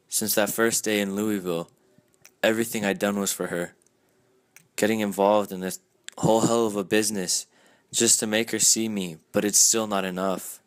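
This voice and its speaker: A young man voicing a lovesick rich young man who reads his own journal aloud in a hushed, brooding monologue. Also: fairly low pitch, fairly even intonation, normal speed, pauses often, no room sound, lightly acted. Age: young adult.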